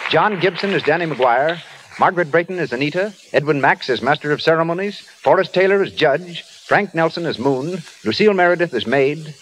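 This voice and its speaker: A man works as a radio announcer, reading formally from a script in 1930s fashion. The voice is slightly high, over faint vintage recording noise. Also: fairly bright tone, rough recording.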